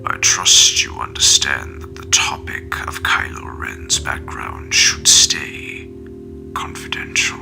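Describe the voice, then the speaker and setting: Creepy old alien overlord, whispery and slow, super gravelly, comic dub with music behind. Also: male voice, extremely low pitch, full character voice, low-quality recording. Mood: cold, commanding. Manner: slow, menacing, deliberate. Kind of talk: fan comic dub acting.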